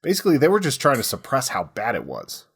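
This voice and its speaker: A man with a husky voice telling history conversationally, as a podcast host. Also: medium pitch, huge pitch swings, quick pace.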